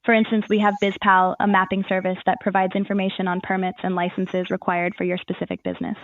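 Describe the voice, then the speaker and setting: A woman's voice: medium pitch, fast, no echo, narrow, tinny audio. A woman's voice as an AI assistant, giving scripted, formal informational answers in a customer-service manner.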